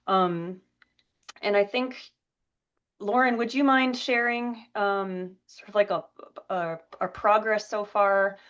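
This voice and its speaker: A woman with a cold leads a meeting, hesitant and halting, with lots of ums and restarts. Her voice comes through a dull, lo-fi video call line. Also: medium pitch. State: has a cold. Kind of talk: meeting presentation.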